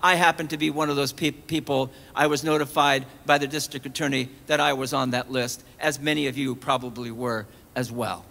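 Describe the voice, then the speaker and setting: A man's voice: medium pitch, fairly even intonation, a little fast, creaky, few pauses, bright, forceful tone. A middle-aged man giving an emphatic sermon at an outdoor rally, speaking into a podium mic with rhetorical questions. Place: outdoor colonnade of a city hall at a rally. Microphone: podium mic on a stand. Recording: long echo off stone colonnade.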